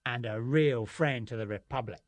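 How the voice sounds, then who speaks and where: A woman's voice: rumbling low, normal speed, no echo, voice pushed down. A woman voicing a solemn, bloodthirsty male revolutionary who relishes cruelty. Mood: gloating.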